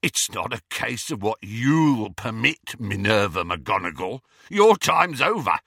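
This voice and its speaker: A man voicing a brutish, hot-tempered, cowardly villain wizard who bellows in rough, ungrammatical speech, in an audiobook. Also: lowish voice, sing-song, a little slow, bright, forceful tone, dry recording, studio quality, heavily acted. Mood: menacing. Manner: bellowing, uneducated non-standard grammar.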